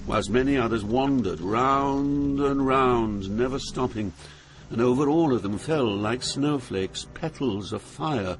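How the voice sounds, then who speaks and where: A man playing a poet who narrates his journey through hell in an audio drama, grave and deliberate. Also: mid-range voice, a little hiss or hum, lightly acted, low-quality recording. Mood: grave awe. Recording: music behind the narration.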